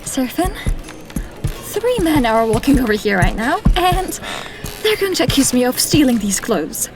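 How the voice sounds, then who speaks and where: Woman playing a high-voiced, guarded young woman. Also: big pitch swings, a little slow, music in the background, heavily acted. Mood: guarded, urgent. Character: guarded, hesitant young woman, commoner in disguise. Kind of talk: fantasy audio drama acting.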